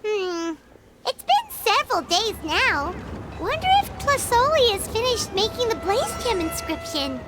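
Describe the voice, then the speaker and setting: A woman voicing a tiny, upbeat fairy companion in anime-style game dialogue. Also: very high-pitched.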